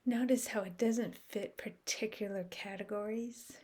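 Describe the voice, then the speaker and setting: Slow-paced woman guiding a meditation. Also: medium pitch, fairly even intonation. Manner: soft, soothing, measured phrasing with long pauses. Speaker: meditation guide and host. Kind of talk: guided meditation.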